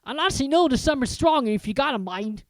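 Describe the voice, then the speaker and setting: A reader voicing a huge, hot-tempered male giant from a storybook, who talks in a loud, gruff rural dialect. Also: high voice, expressive, quick pace, no room sound, voice pushed up. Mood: hearty. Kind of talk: audiobook character reading.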